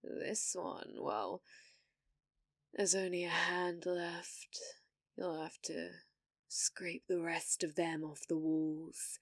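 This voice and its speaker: A woman's voice: lowish voice, takes it slowly, no echo, clean studio sound. A British woman playing a cruel, domineering villainess in an ASMR fantasy roleplay, taunting theatrically and slipping into whispers. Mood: sadistic amusement. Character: cruel, domineering female villainess sorceress, gloating and possessive.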